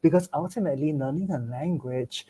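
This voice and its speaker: Earnest Singaporean teacher explaining to peers. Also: male voice, fairly high pitch, brisk, warm tone, husky. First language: English is first language. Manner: earnest, explanatory, checks in with listeners. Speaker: teacher sharing with fellow teachers. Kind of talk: online discussion among teachers.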